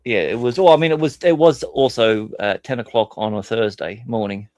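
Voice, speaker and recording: Male guest, laid-back banter, mid pitch, dry sound. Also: a little fast, a little mellow, no room sound. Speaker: chat guest. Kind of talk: casual chat.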